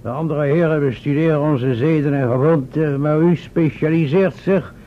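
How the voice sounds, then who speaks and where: A man's voice: on the high side, moderate pace, lightly acted. Man playing pompous mayor, muffled noisy recording. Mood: genial, formal. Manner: formal, pompous, wordy. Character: pompous, self-pitying, hesitant town mayor. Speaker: radio play actor. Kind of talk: old Dutch radio play acting.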